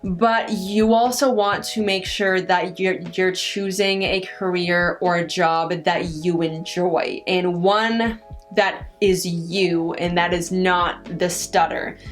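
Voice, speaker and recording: Young woman vlogger, casual Q&A, noticeable stutter with repeated first sounds, slow deliberate delivery, faint guitar music underneath. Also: fairly low pitch, steady tone. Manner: halting, many restarts and pauses. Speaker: vlogger answering viewer questions. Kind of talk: casual Q&A chat.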